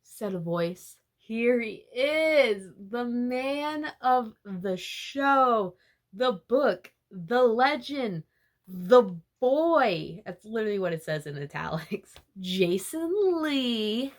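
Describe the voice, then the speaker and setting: A woman's voice: a little high, animated, slow pace. Giggly, chatty young woman vlogger. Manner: chatty and giggly, full of fillers like "like". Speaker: book-summary vlogger. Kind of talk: casual chapter-by-chapter retelling.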